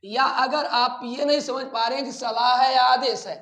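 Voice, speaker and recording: A man with an Indian accent teaching a grammar lesson in a didactic way, repeating phrases deliberately. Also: very high voice, steady tone, bright, forceful tone. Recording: echoey room sound.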